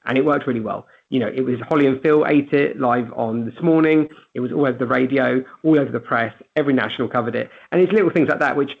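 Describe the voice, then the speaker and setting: A man giving a webinar presentation in a brisk way, with some restarts. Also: medium pitch, vocal fry. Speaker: webinar speaker. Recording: tinny phone-line audio.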